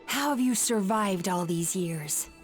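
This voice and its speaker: A woman playing a calm, knowing young dream-eating yokai in an anime video game, explaining in measured lines. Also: medium pitch, over music, lightly acted. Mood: grave, knowing.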